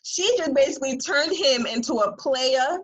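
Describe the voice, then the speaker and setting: A woman's voice: high-pitched, normal speed. Woman chatting casually about books. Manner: animated, acts out imagined reactions in slangy conversational speech. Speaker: author and reader on a book discussion panel. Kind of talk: casual book discussion.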